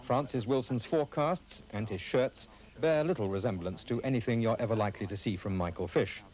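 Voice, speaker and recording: A man's voice: mid-range voice, fairly quick, a little mellow, rough recording. A British man reading scripted narration as a television reporter.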